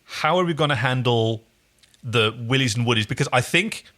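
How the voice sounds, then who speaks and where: A man's voice: medium pitch, moderate pace, fairly bright tone. A man co-hosting a podcast, chatting casually and playfully about a TV episode with wry humour. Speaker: podcast co-host.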